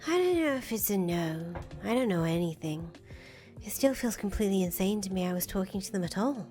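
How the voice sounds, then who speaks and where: Woman playing visual novel fangirl: young, soft-hearted, worried, hesitant and earnest, music underneath. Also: mid-range voice, lively intonation, brisk, lightly acted. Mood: self-deprecating, uneasy. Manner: hesitant, self-deprecating, earnest. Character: anxious, disillusioned young fangirl, soft-hearted conscience of a revolutionary. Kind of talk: visual novel dialogue reading. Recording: music behind the voice.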